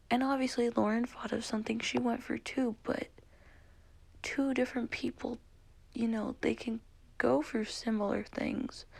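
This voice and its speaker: A woman whispering softly as she comments on songs, hesitant and full of fillers. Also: a little high, gravelly, breathy edge. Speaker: vlogger reviewing songs. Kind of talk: whispered ASMR-style music commentary.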